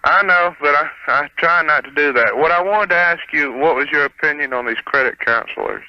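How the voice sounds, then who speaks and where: A man's voice: a little high, fairly quick, dry recording. A dull, dry phone line carried over radio. A man is the caller. He speaks casually and a little sheepishly, hesitating as he explains himself. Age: 20s. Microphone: telephone.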